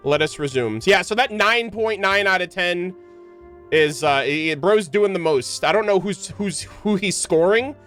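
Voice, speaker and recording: A man's voice: sits high, expressive, unhurried, a bit bright. Male game streamer, informal and slangy, talking to chat over game music. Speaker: live streamer, gamer. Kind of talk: casual live game-stream commentary to chat.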